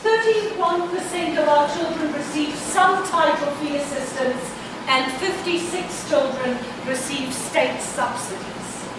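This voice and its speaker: A woman's voice: high voice, slow, background noise. A woman, an Afrikaans speaker, giving an earnest, proud report as a childcare director at an annual meeting. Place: event venue. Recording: echoey hall, far from mic.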